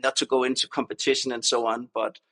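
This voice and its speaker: A man's voice: medium pitch, level tone, quick pace, pushed and bright. A man with a Danish accent, a native Danish speaker, chatting casually as an interview guest. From Denmark. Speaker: podcast guest, startup co-founder.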